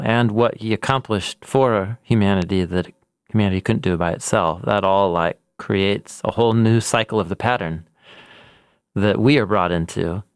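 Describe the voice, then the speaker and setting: A man co-hosting a podcast, talking through ideas in a relaxed, thoughtful way and joking at his own rhymes. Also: on the low side, clear, resonant tone, no echo, studio quality. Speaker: podcast co-host, Bible scholar. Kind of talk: casual reflective conversation.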